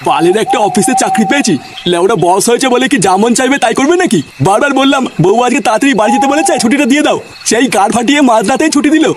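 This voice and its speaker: Cartoon old grandpa, voiced by a man, high and very fast, crude and gruff, noisy track. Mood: grumbling. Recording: noisy background.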